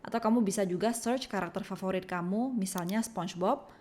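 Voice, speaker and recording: A woman presenting a scripted software tutorial in an instructional, step-by-step manner. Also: mid-range voice, a little fast.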